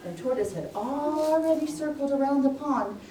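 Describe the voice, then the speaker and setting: Animated woman storyteller in an echoey room. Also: sits high, normal speed. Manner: expressive, simple phrasing for small children. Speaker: storyteller and puppeteer for young children. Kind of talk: live children's story narration. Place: room with a pre-school class. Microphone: distant recording mic. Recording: echoey room, distant mic, faint noise.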